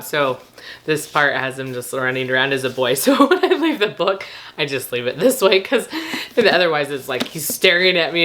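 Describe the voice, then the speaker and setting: Low-voiced, slightly gravelly woman chatting casually. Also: fairly bright tone. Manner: informal, laughs often while talking. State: slightly rough, gravelly voice after losing it. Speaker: book review vlogger. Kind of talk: casual rambling chat to camera.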